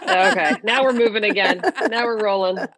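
A woman's voice: a little high, sing-song, normal speed, crowd noise. A woman moderating a casual roundtable, upbeat and steering the conversation. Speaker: guest moderator.

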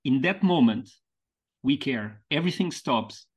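A man from Portugal with a Portuguese accent, a podcast guest speaking English as a second language in a thoughtful, halting way, stretching out key words. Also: mid-range voice, animated, breathy edge. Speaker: podcast guest, mentoring founder. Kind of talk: reflective conversational interview.

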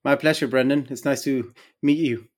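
A man's voice: mid-range voice, fairly even intonation, normal speed. A middle-aged man from Guatemala with a Guatemalan Spanish accent, answering interview questions conversationally as an academic, in stop-and-start phrasing. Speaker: interview guest, academic engineer and extension specialist.

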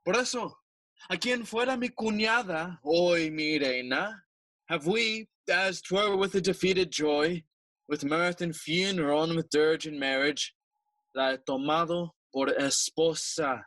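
Bright, high-voiced stately king. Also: measured pace, dry recording. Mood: proud, formal. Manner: formal, declamatory oratory. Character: Claudius, stately, smooth-talking middle-aged usurper king. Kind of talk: bilingual Spanish-English Shakespeare audio play acting.

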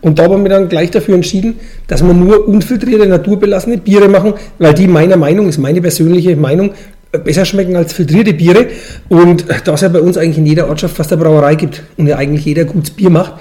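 Male, forties, Franconian dialect, brewmaster interviewee; quick, relaxed-sounding, colloquial explanations. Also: high-pitched. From Franconian Switzerland, Bavaria, Germany. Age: 40s. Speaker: brewmaster and brewery owner, interviewee.

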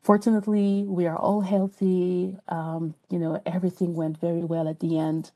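A woman's voice: fairly low pitch, flat delivery, normal speed, mellow, rough recording. A woman from France with a French accent, a podcast guest speaking earnestly and reflectively, with frequent hesitations and restarts. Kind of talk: personal interview talk.

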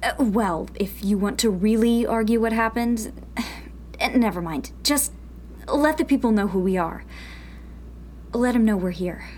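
A woman's voice: on the high side, measured pace, long pauses, darkish tone, slight background noise, natural voice. A woman playing a cocky, sardonic young rebel radio tech in a sci-fi radio drama, casual, wry and brash. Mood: teasing, smug.

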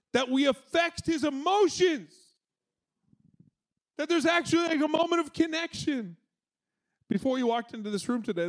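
A man preaching a sermon with a loud voice, conversational and energetic, with playful asides, in a church. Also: very high-pitched. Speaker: pastor.

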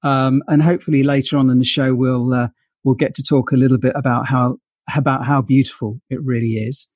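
Middle aged guy hosting an interview, normal pitch, no room sound, chatty and agreeing along. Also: flat delivery, a little fast, a little mellow, no echo. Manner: affirming, with frequent short acknowledgements. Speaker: interview show host. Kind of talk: casual conversational interviewing.

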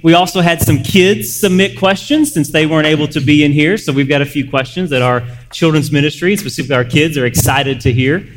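A man hosting a casual live interview on a church stage, speaking informally and haltingly, often repeating phrases. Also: a little high, faint music behind. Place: large church room, on stage. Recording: echoey hall, clear background noise.

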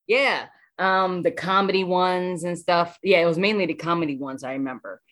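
A woman with an American accent talks casually in an interview. Her voice sits low, and she rambles enthusiastically, circling back over her phrases. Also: moderate pace, a bit bright. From Washington DC area, United States. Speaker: voice actor, interview guest.

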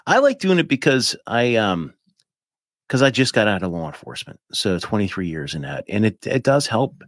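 A man in his fifties, a podcast guest, chatting casually in a rambling, animated way with lots of fillers. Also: lowish voice, normal speed, no echo, studio quality. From United States. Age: 50s. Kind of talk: casual chat.

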